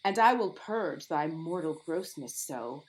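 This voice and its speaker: Woman doing a lovestruck fairy queen, slow grand lines, really animated, commanding, audio drama. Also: low voice, rough recording. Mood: commanding, adoring. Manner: enamoured, grand, declamatory. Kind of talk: theatrical Shakespearean audio drama acting.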